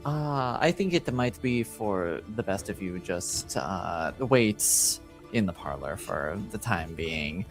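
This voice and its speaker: A man's voice: sits low, animated, slow pace, faint music behind, pitched below the performer's own voice, full character voice. A man playing a hesitant, deferential household attendant in a tabletop game, speaking with unsure politeness. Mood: awkward deference.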